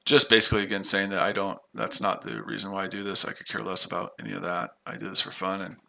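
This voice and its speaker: A man's voice: sits low, little pitch movement, fast talker, creaky, pushed and bright. An American man casually chatting on a livestream while trading, rambling and talking to his viewers. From United States. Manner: rambling, repeats himself, chats with viewers. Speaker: livestream trader.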